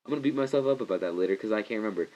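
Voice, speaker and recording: A young man with a stuffy, congested voice gives a rambling, excitable movie review, often restarting his sentences. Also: lowish voice, rapid-fire. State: congested from a cold. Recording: slightly muffled lo-fi recording.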